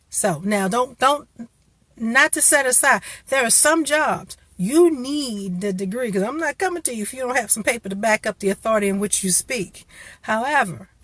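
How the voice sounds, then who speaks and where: A woman's voice: mid-range voice, no room sound. A woman hosting a casual motivational talk, giving encouraging career advice in a conversational way with frequent restarts. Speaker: career coach host.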